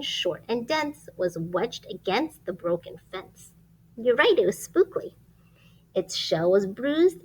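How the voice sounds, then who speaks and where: A woman reading a picture book aloud to young children, expressive and emphatic, pausing to ask questions and point things out. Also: mid-range voice, slight background noise, low-quality recording. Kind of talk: animated children's read-aloud.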